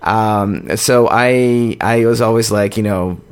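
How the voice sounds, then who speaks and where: A man, co-hosting a podcast, telling a long, halting story in casual chat. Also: lowish voice, steady tone, clean tone. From born in Poland, raised in Canada. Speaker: podcast co-host.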